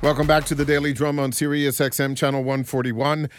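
A man with an American accent hosting a radio call-in show in a measured announcer's delivery. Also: medium pitch, little pitch movement, soft background music. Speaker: radio talk show host.

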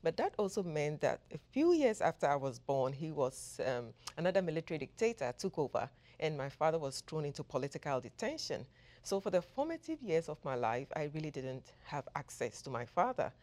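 A woman from Ghana, a professor, giving measured, thoughtful answers as an interview guest. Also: low voice, very animated, a little mellow. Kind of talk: interview conversation.

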